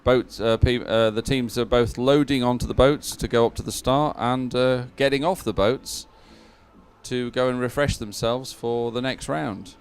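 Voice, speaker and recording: A man presenting live sports coverage in a clear, resonant voice of average pitch. He speaks off the cuff, pausing as he goes through results and schedules. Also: fairly even intonation. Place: open-air commentary position.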